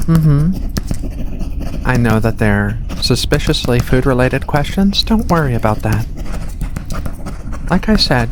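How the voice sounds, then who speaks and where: An ASMR performer playing a giant alien researcher, soft-spoken, gentle, amused and reassuring in a medical exam roleplay. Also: mid-range voice. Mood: gentle, curious. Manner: soft-spoken, reassuring, unhurried with hesitant murmurs. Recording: steady ambient noise of ship sounds. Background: ship ambience.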